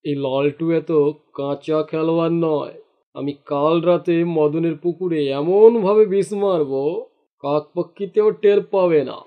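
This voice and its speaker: A man's voice: fairly high pitch, unhurried, phone-quality sound. A man acting a sly, boastful village henchman in a cartoon, goading and cocky as he schemes. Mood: sly scheming.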